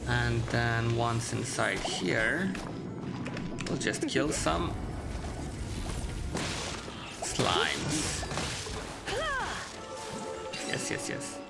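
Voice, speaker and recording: Very creaky, slow-talking male gamer. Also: very animated. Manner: low-key, thinking aloud. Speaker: gamer, video creator. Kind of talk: casual gameplay commentary. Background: game music.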